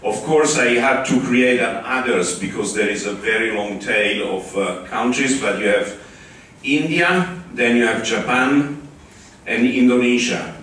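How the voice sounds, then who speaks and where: Slow Italian-accented man in echoey hall. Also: medium pitch, a bit bright, a little hiss or hum. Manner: halting, with many restarts and fillers. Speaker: conference speaker. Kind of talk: conference talk with slides. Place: large conference hall. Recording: echoey hall, far from the mic.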